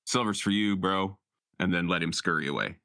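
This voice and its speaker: Male tabletop player, very low pitch, forceful bright tone, casual informal talk, dry sound. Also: fairly quick, dry recording. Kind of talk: casual in-game chat.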